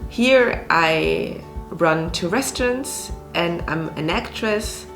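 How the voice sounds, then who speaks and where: Slow-talking woman from Switzerland. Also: lowish voice, lively intonation, music in the background. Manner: speaks slowly, with many fillers and restarts. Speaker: restaurant owner and actress. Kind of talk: casual interview talk.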